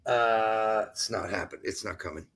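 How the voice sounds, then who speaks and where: A man's voice: on the low side, moderate pace, fairly bright tone, a little hiss or hum. A man with an American accent chatting casually about sports as a livestream co-host, blunt and opinionated.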